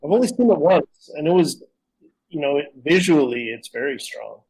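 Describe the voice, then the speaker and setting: A man's voice: fairly high pitch, lively intonation, moderate pace, a little mellow, slightly rough, poor audio. A man co-hosting a podcast, talking casually in a discussion. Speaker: podcast co-host.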